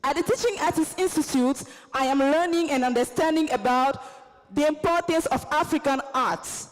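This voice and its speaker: A woman from Côte d'Ivoire with an Ivorian accent, whose first language is Baoulé. She is a teacher and singer giving an earnest, measured speech at a convention. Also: sits high. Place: large convention hall. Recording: echoey hall.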